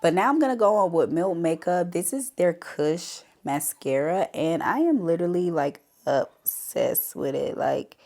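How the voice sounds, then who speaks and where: A woman's voice: fairly low pitch, animated, unhurried, hardly any pauses, darkish tone. A woman with a stuffy nose from a cold, chatting casually to viewers through a makeup tutorial. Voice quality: stuffy, congested. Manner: chatty, talks straight to viewers as "y'all". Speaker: beauty vlogger.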